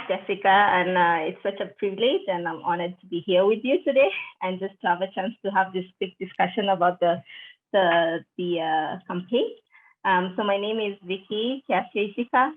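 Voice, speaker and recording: A middle-aged woman from Kenya, interviewed over a video call, speaking in a measured way with frequent fillers and restarts. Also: medium pitch. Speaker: interviewee, deputy country director. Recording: tinny call audio.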